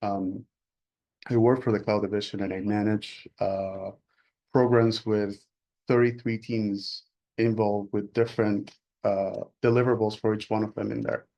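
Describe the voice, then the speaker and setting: Relaxed man, mellow low voice. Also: unhurried, lo-fi sound. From Venezuela. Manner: relaxed, self-deprecating storytelling. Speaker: panelist. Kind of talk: casual panel introduction.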